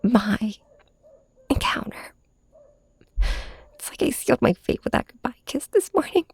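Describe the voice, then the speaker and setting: A woman playing a heartbroken young superhero in an intimate ASMR audio drama, confiding in a villain with halting, raw emotion in a breathy, whispery voice. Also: a little high, big pitch swings, darkish tone, no echo, exaggerated voice. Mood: anguished, tearful. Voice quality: breathy, often dropping to a whisper. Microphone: close microphone, dry.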